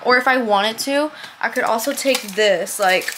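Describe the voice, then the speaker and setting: A young woman with a fairly high voice talks casually to her vlog camera. She is animated and slangy and swears freely. There is clear background noise on the handheld recording. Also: a little slow. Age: 20s. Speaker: vlogger. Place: car, shops, parking lot, cluttered bedroom. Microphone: handheld or propped vlog camera. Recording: noisy handheld camera audio.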